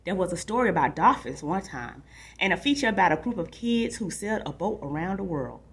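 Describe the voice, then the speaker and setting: An imaginative young boy longing for adventure tells his storybook tale in a woman narrator's voice. The voice is husky and a little low, dramatic and wistful, as if confiding in the listener. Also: very animated, fairly quick, exaggerated voice. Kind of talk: expressive storybook read-aloud.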